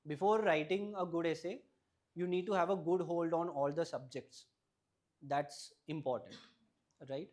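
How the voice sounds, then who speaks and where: A young man with a fairly high-pitched voice whose first language is Malayalam, speaking as an exam topper in an interview. He gives practical advice in a steady, explanatory way and often closes a point with a quick "right?". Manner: advisory, often ends points with a questioning "right?".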